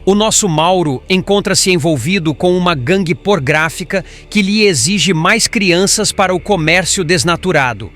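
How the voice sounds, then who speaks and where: Spirit mentor, acted by a man: solemn and patient, fairly high male voice, formal audiobook delivery over faint music. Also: fairly bright tone. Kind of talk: scripted audiobook narration.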